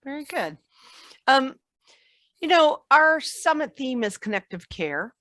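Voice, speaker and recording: A woman hosting an online summit interview, friendly and encouraging, filling pauses with ums, heard over a video call. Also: high voice, sing-song, a bit bright, no echo. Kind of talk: interviewer questions.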